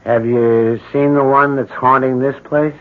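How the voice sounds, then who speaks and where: An actor in a radio drama playing a folksy, blunt building superintendent. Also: male voice, medium pitch, steady tone, measured pace, natural voice. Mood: easygoing. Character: plain-spoken, easygoing male building superintendent. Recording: dull old radio recording.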